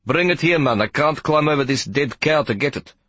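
A sour male train conductor, nasal with self-pity and quick to blame, put on by a man. The voice sits high and pushes loud, with a husky edge, recorded close and dry. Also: lively intonation, dry recording. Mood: accusing. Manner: whiny, accusing, self-pitying.

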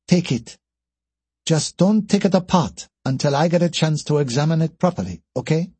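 Male narrator as a playful woman scientist, raised pitch, light, soft, self-assured, audiobook character, dry close sound. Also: lively intonation, moderate pace, breathy edge, no room sound. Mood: dismissive.

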